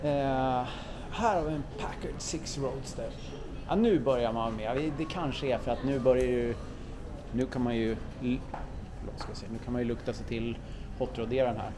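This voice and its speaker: A man walking through a car museum with a camera, giving enthusiastic casual commentary and often correcting himself as he goes. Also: mid-range voice. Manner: chatty, enthusiastic, muses aloud and corrects himself. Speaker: car vlogger touring a museum. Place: indoor car museum hall. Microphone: handheld camera mic, walking. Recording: visitor crowd in background. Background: crowd murmur of museum visitors.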